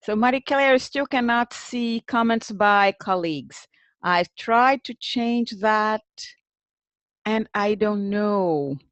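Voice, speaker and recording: A woman's voice: mid-range voice, slow, no echo. A woman presenting an informal webinar as host, conversational, thinking aloud and addressing attendees by name.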